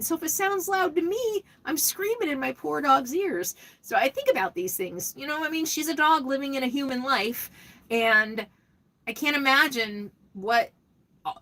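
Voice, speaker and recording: A middle-aged woman with a loud, carrying voice talks casually through a live stream and answers viewers' questions as they come in. Her tone is bright and forceful, set a little high, and she rambles, starting sentences over and laughing as she goes. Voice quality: loud voice that projects well. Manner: chatty, rambling, with frequent restarts and laughs.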